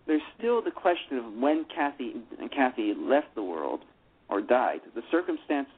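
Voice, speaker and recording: A man talking about how he adapted a play, rambling with many restarts and fillers. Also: mid-range voice. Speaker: playwright discussing his adaptation. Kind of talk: casual radio discussion. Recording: phone-line radio call.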